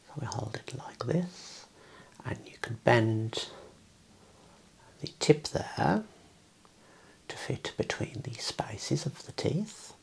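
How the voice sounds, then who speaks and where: A soft, breathy whisper in an ASMR medical role play, gently and politely explaining and instructing step by step as a clinician. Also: medium pitch, poor audio. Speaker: ASMR performer playing a clinician.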